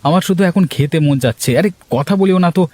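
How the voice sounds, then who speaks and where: A man voicing an excitable, easily frightened village husband in a cartoon, fussy and hurried. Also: sits high. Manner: fussy, hurried, stammers when scared. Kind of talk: cartoon voice acting.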